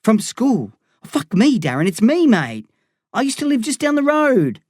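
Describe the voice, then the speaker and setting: A man's voice: on the high side, expressive, normal speed, dry recording, studio quality, voice pushed up, close to an everyday voice. A man voicing an anxious young man in an audiobook, urgent and pleading.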